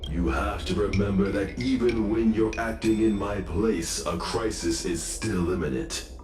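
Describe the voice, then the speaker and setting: A male actor voices a proud, unyielding guild president in a game cutscene. He speaks slowly and formally in a deep, grave register. His voice echoes over loud music. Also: close to an everyday voice. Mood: stern, conceding. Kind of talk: video game voice acting. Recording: reverberant, under loud background music.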